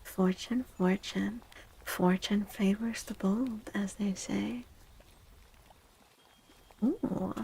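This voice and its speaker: A woman hosting a soft-spoken ASMR tarot stream, talking gently and breathily, often near a whisper, with drawn-out delivery. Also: medium pitch, steady tone, gravelly, a little hiss or hum, low-quality recording. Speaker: livestream host giving tarot readings.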